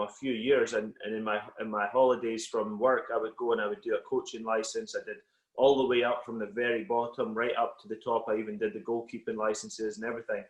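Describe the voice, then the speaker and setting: Scottish man, low voice, echoey room. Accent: Scottish, slightly Americanised. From Scotland. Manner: relaxed, reflective, many fillers. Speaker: football coach, guest. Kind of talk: casual interview on a call. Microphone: video call.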